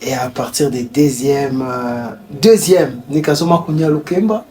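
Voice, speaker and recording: A man's voice: medium pitch, steady tone, a little slow, a little mellow. A man with a Congolese accent, a musician being interviewed, chatting informally and often repeating his phrases. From Bukavu, DR Congo. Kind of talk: casual chat mixing French and Swahili. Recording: noticeable background noise.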